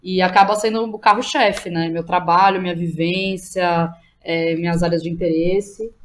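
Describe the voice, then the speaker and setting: A woman's voice: lowish voice, steady tone, normal speed. A woman in her thirties with a Brazilian accent, talking casually and candidly as an interview guest, often checking in with little tag questions. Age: 30s. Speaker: podcast guest, theatre teacher and playwright. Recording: clear background noise behind the voice.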